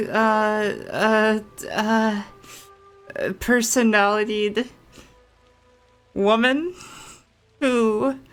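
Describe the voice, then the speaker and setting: Woman, game streamer, raised pitch, very unhurried pace, casual, playful, jokey. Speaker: game streamer playing through a story quest. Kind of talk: casual playthrough commentary.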